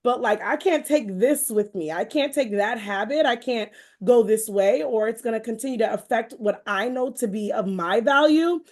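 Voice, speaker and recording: A woman's voice: a little high, normal speed, few pauses, darkish tone. A woman hosting a motivational, faith-based conversation, talking in an encouraging, emphatic way and often repeating phrases. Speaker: podcast host.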